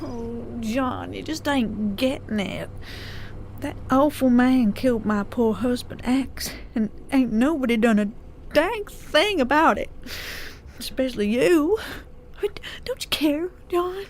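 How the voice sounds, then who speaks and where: Woman acting a slow-talking aggrieved frontier widow. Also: high voice, darkish tone, slight background noise. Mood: hurt, scolding. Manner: folksy, slow, pleading and scolding. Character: aggrieved folksy frontier widow, scolding yet sweet on hero. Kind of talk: audio drama Western acting.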